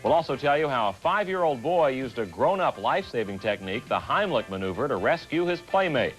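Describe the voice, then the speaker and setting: A man's voice: medium pitch, sing-song, a little fast, fairly bright tone, soft background music, poor audio. A man reading a scripted television news teaser as an announcer.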